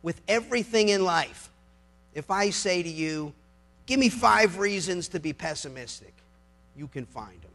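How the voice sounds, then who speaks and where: An American man delivers a persuasive speech to an audience. His voice sits high for a man and has plenty of creak in it. He is emphatic and colloquial, often restating a phrase to make it land. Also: expressive, measured pace, fairly bright tone. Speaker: lawyer giving a talk as public speaker.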